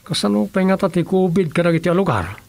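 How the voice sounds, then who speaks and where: A man's voice: high voice, sing-song, fast, vocal fry, darkish tone, exaggerated voice. A male actor with an Ilocano accent playing an inquisitive, skeptical villager in a rural comedy radio drama. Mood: curious, doubtful. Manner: questioning, colloquial.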